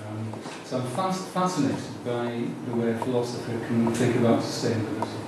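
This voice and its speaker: A man's voice: mid-range voice, lively intonation, a little fast. A man who lectures in philosophy, introducing himself to a group with some hesitation. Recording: very echoey room, distant mic, noisy.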